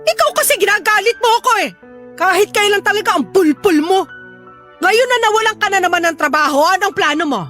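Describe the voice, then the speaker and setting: Shrill, very high-pitched scolding Filipino mother. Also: expressive, brisk, bright, forceful tone, faint music behind, heavily acted. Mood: angry, scolding. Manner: scolding, exclamatory, threatening. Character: hot-tempered, nagging, gambling widowed Filipino mother. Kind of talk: melodramatic Filipino audio drama acting.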